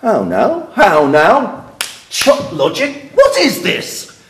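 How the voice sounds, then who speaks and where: Man acting a high-pitched, raging patriarch, echoey room. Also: huge pitch swings, drawn-out words, a little hiss or hum, full character voice. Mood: indignant, scornful. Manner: scornful, explosive, declamatory Shakespearean delivery. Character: raging domineering father, older nobleman. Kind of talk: classical stage verse acting. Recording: roomy, echoing acoustic.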